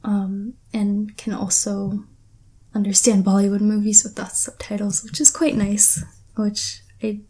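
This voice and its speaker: A woman's voice: mid-range voice, little pitch movement, a little slow, warm tone, slightly rough, low-quality recording. A tired young woman from Pakistan, whose first language is Urdu, casually answering a questionnaire with rambling talk and many ums. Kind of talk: casual chat.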